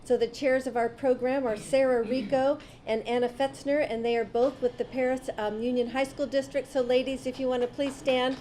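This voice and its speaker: A woman's voice: sits high, flat delivery, normal speed, hardly any pauses, mellow, slight background noise. A woman emceeing a student awards breakfast, graciously thanking volunteers and guests one by one. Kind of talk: ceremony announcements.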